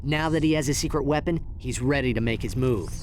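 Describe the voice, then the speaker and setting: An actor playing a young alien hero in a cartoonish video game, earnest and brash, talking casually and emphatically. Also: male voice, mid-range voice, brisk, slight background noise, lightly acted. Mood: excited, urgent.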